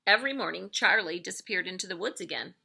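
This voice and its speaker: Woman, middle-aged, school read-aloud narrator; bright tone with noticeable creak, expressive storytelling delivery. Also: lowish voice, fast, a little hiss or hum. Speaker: elementary school staff member reading aloud to pupils.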